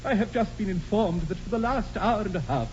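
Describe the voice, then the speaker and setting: A man's voice: high-pitched, expressive, brisk, darkish tone. A man playing a prim, courteous country clergyman with an English accent and a formal, deferential manner in a radio comedy. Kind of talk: 1940s radio comedy acting. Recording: dull, noisy 1940s radio broadcast.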